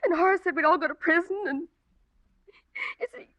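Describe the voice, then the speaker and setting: A woman's voice: extremely high pitch, fairly quick, no room sound. An actress playing an anguished young woman in love, pleading melodramatically in 1940s radio thriller style. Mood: pleading, tearful. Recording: dull vintage radio broadcast.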